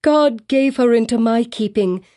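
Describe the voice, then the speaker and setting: A woman's voice: on the high side, unhurried, mellow, pitched above the performer's own voice, lightly acted. A woman voicing a desperate young mother who pleads for her child, her voice rising almost to a shriek. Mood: pleading.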